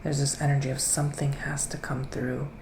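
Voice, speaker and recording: Gentle male psychic witch, acted by a man, soft ASMR delivery drifting into whispers, gravelly fry, roomy noisy recording. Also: mid-range voice, level tone, lightly acted. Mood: soothing, assured. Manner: hesitant, drawn-out phrasing with pauses. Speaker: ASMR performer. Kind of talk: soft-spoken ASMR roleplay, partly whispered. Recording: roomy echo, clear background noise.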